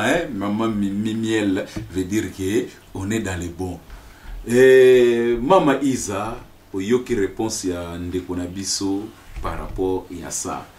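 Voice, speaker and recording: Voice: low-pitched, darkish tone. A host who leads the discussion, thanks the guests and drops in short sounds of agreement. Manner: interjects with short agreeing sounds, switches between Lingala and French. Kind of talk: hosting a panel discussion.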